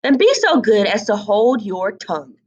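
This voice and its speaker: A woman's voice: medium pitch, big pitch swings, moderate pace, breathy edge, highs cut off. A woman voicing a bossy, conceited hen in a storybook, scolding and lecturing with haughty emphasis. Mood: sharp, demanding. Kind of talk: storybook read-aloud character voice.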